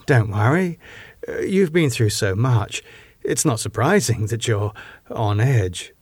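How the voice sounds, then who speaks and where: A man's voice: medium pitch, animated, a little mellow, husky, no room sound. A man voicing a composed, firm woman in authority. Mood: concerned, reassuring. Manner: measured, polite, insistent. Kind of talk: audiobook character dialogue.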